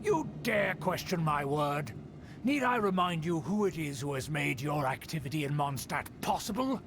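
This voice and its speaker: An actor playing a haughty, scheming middle-aged aristocrat in a video game, speaking in a pompous, indignant manner. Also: male voice, fairly high pitch, animated, normal speed, creaky, background noise.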